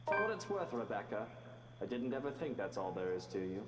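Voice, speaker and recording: A man's voice: lowish voice, sing-song, brisk, loud music behind the voice. A man playing a grave, frank godfather in a dramatic visual novel style, in a reverberant recording. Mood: earnest, frank. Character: grave godparent speaking frankly to a woman.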